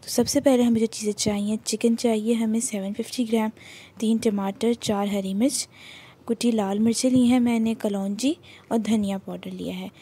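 A woman's voice: a little high, fairly even intonation, moderate pace, warm tone, husky. A woman with a Pakistani Urdu accent, walking through a home recipe step by step as she cooks in her kitchen. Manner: plain, instructive, explains each step while working. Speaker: cooking channel host.

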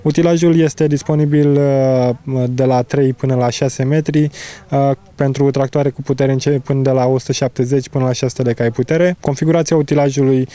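Man, 30s, product specialist interviewed outdoors. Soft, dark tone, technical delivery with halting pauses. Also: mid-range voice, fairly even intonation. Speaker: agricultural machinery product specialist. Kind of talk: explaining equipment in an interview. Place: outdoors in an open field. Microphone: handheld interview microphone.

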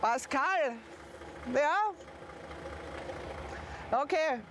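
A woman's voice: sits high, animated, very slow, background noise. A middle-aged woman truck driver, thinking aloud hesitantly while maneuvering outdoors and in her cab. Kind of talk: casual talk. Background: music.

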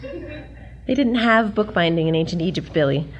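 A woman's voice: mid-range voice, sing-song, normal speed, dull audio, lightly acted. A woman playing an earnest, bookish young heroine who explains things in a 1940s-style radio adventure.

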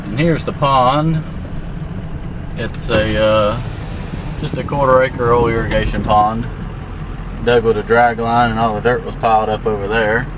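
A man giving a relaxed, plain-spoken tour of his land, walking and then driving. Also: medium pitch, unhurried, long pauses. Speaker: landowner showing his farm. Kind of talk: casual walk-and-drive tour narration. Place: outdoors in fields, then inside a moving pickup truck. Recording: clear background noise of truck engine and outdoors.

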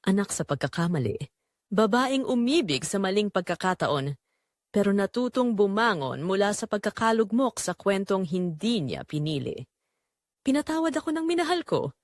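A woman performs a remorseful young woman with a Filipino accent, telling her own story in an audio drama. Her medium-pitched voice moves expressively up and down. The delivery is earnest, reflective and wounded, in a very dry recording. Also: dry recording, lightly acted. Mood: resolute, bittersweet. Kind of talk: scripted first-person dramatic narration in Tagalog audio drama.

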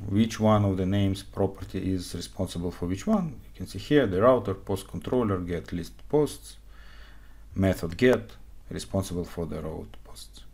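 A man in his forties with a fairly low voice speaks English as a second language. He walks through code at his desk at home, checking in with "okay?" as he goes. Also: darkish tone. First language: not English; speaks Hebrew, Russian, Ukrainian. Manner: explains step by step, frequent "okay?" checks. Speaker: conference speaker. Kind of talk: live coding talk.

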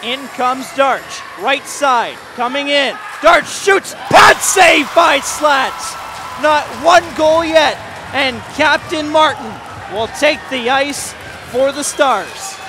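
A man calling a hockey shootout in a very high, strained voice, yelling every goal as he loses his voice. A crowd in the arena is heard clearly behind him, over a steady hum of noise. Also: slow. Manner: builds to shouted goal calls. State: losing his voice. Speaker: play-by-play commentator. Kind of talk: excited sports commentary.

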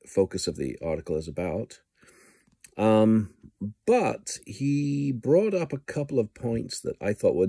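Hesitant low-voiced middle-aged man. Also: gravelly, warm tone, breathy edge, no echo, clean studio sound. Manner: halting, frequent ums and restarts. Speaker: news blogger. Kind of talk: casual video commentary. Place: indoors at home, small room. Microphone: phone camera held close.

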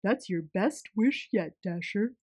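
A woman voicing a kindly, jolly old man in a children's storybook, with a lowered, full voice and hearty manner. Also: animated, a little mellow, husky, no room sound, studio quality. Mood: reassuring. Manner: unhurried, hearty.

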